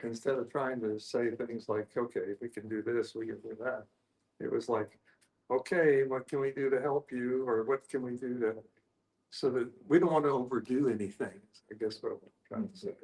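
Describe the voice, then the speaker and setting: A man telling an anecdote in a group discussion, halting and circling back to rephrase. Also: medium pitch, fairly even intonation, darkish tone. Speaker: discussion participant. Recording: echoey room.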